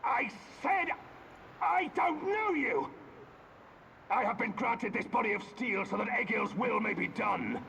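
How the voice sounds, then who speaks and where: An actor playing a cold, arrogant steel-bodied villain in a video game, gravelly and fanatically loyal to his master. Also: very high voice, animated, a little slow, pushed and bright, slight background noise, full character voice. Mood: cold, defiant. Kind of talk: dramatic video game acting.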